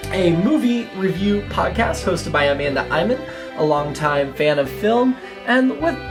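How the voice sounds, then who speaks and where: A woman reading a scripted podcast introduction as the announcer. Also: fairly low pitch.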